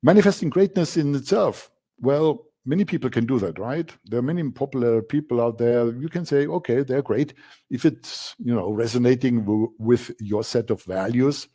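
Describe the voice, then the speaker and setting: A man's voice: mid-range voice, normal speed, warm tone. A man from Germany with a German accent, giving a masterclass presentation in an emphatic style, repeating key words and using frequent fillers. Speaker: coaching instructor.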